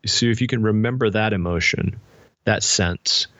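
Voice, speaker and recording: A man's voice: lowish voice, normal speed, fairly bright tone, lo-fi sound. A middle-aged man speaking reflectively as an interview guest, explaining things thoughtfully with everyday examples. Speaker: podcast guest, spiritual director and author.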